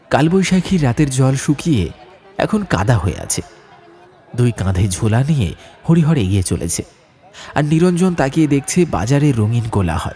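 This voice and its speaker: Expressive male Bengali story narrator. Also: medium pitch. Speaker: audiobook narrator. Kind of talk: dramatic scripted ghost-story narration. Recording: music bed behind narration.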